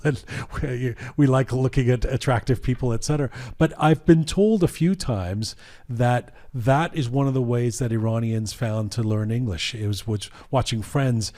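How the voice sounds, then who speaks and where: A man hosting a panel podcast, with a voice of ordinary middle pitch. He talks in a reflective, halting way and keeps restarting his sentences as he works through an idea. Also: mellow. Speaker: podcast host. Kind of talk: panel discussion.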